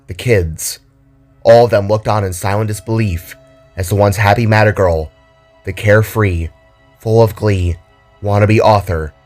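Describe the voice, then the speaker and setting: Low-voiced man narrating a dramatic story. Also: darkish tone.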